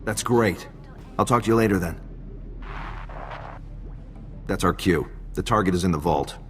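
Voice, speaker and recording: An actor playing a wry male intelligence agent in a video game, talking in a casual, unhurried way. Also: low voice, fairly bright tone, big-room sound, slight background noise. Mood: wry, relaxed. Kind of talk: video game cutscene dialogue.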